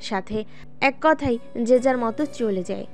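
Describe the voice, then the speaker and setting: A woman tells the story in a plain, even voice, now and then speaking straight to her listeners. Her pitch is slightly high, and light music plays beneath her narration. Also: unhurried. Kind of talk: audio drama narration.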